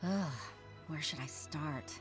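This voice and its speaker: An actress playing an earnest, deferential junior civil servant in a video game, polite and a little hesitant. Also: female voice, low voice, slow pace, over music. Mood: frustrated. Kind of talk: video game character acting.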